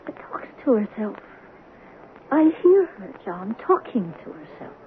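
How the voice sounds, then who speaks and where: A woman's voice: medium pitch, sing-song, slow. An actress playing a worried middle-aged mother who speaks hesitantly and trails off, in 1970s radio drama style. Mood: anxious. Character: worried mother of a teenage daughter. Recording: tinny, hissy old radio broadcast.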